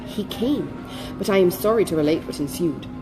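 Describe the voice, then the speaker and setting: A pompous married gentleman from a classic novel, acted out by a woman narrator. He speaks in solemn, long-winded, self-righteous periods, with pitch that rises and falls widely in aggrieved emphasis. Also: lively intonation, moderate pace, over music, lightly acted, low-quality recording. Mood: aggrieved, indignant. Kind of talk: classic novel dialogue in audiobook reading.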